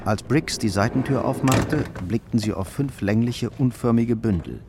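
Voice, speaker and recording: A man's voice: medium pitch, normal speed, gravelly, background noise. A man reading scripted narration as the storyteller of a radio crime drama.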